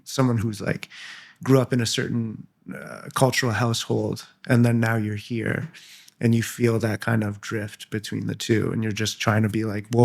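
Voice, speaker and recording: A man's voice: fairly low pitch, gravelly. A young man from Canada, a guest in an interview, speaking casually with many fillers and restarts.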